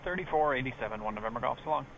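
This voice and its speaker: A man's voice: mid-range voice, lively intonation, rapid-fire, vocal fry. A man, a pilot, making clipped, procedural radio calls on an aviation headset. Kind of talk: air traffic radio calls. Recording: loud noise on cockpit radio.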